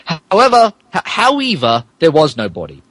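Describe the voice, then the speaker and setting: A man's voice: fairly high pitch, huge pitch swings, normal speed, fairly bright tone, dry recording. A man reading fan fiction aloud as a podcast host, in mock-dramatic narration broken by amused asides. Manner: theatrical, breaks character to comment.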